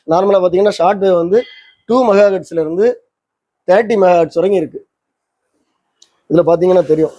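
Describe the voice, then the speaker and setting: A man's voice: sits high, dark tone. A man giving an informal hands-on demonstration, explaining conversationally and often rephrasing his points. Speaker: presenter of a vintage radio repair channel. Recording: roomy echo, slightly dull lo-fi sound.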